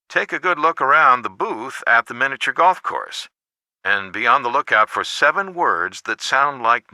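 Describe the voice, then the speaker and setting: An actor playing a helpful, folksy adult mentor who coaches the player with knowing, encouraging hints in a video game. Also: male voice, medium pitch, bright, forceful tone, no echo, heavily acted. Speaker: hint giver in an adventure game. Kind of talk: scripted video game voice acting.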